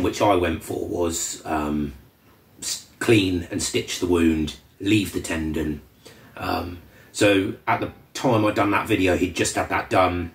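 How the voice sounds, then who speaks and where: A man with a cold and a slightly croaky voice, hosting a casual vlog update in a relaxed, rambling way with many ums. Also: deep voice. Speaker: channel host. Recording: roomy echo from a distant mic.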